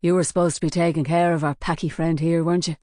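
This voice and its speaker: A woman's voice: low voice, little pitch movement, quick pace, mellow, no room sound, studio quality, close to an everyday voice. A woman voicing a cocky, menacing male gang leader who mocks his captive. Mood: mocking. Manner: mocking, sneering.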